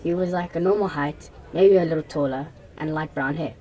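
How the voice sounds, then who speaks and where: A woman's voice: low voice, brisk, dark tone. A young woman playing a shaken, hesitant assault survivor giving a statement in a radio drama.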